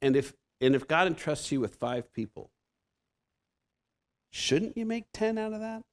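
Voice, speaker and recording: A man's voice: on the high side, big pitch swings, unhurried. A man in his fifties with a Pacific Northwest American accent, preaching a conversational sermon as a guest preacher, self-deprecating and often restarting his sentences. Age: 50s. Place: church sanctuary.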